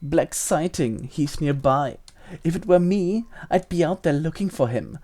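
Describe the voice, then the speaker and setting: A woman's voice: low voice, a little slow, warm tone. A woman playing a spoiled, sneering schoolboy bully with a haughty, taunting, theatrical delivery. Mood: sly, taunting.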